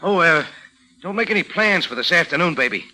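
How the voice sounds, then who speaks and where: A very bright, tense male voice with lively swings of pitch. a slick American small-time crook in a vintage radio thriller, glib, edgy and always scheming. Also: a little fast, poor audio. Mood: eager, scheming. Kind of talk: 1940s radio crime drama acting.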